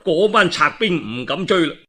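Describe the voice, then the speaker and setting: A man with a Cantonese accent performs traditional historical storytelling. His voice sits fairly high and bright, with a husky grain. He is dramatic and expressive, dropping in colloquial asides. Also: normal speed.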